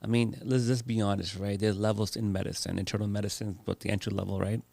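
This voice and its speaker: Host: man, lowish gravelly voice, dry close mic, teasing conversational prompts. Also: flat delivery, fast talker, darkish tone, no echo. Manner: playful, leading questions. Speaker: interview host. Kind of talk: casual interview chat.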